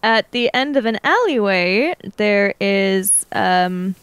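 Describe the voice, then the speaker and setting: A woman's voice: mid-range voice, lively intonation, takes it slowly, fairly bright tone, smooth, no echo. A woman describing a scene as a player in a tabletop role-playing game. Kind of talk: improvised game narration.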